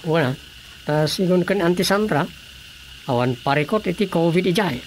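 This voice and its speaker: A man's voice: on the high side, lively intonation, moderate pace. A man with an Ilocano Filipino accent, acting a teasing village councilor in a radio comedy drama. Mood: teasing, casual.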